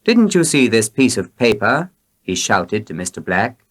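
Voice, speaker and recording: A man reading short tales aloud for learners in a deliberate, expressive storytelling manner. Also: fairly low pitch. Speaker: story reader.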